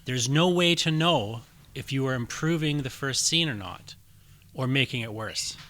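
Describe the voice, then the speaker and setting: A man's voice: medium pitch, animated, normal speed, sharp tone. A man hosting a podcast about writing, talking casually and explaining his points through leading questions. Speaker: podcast host. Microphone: studio microphone.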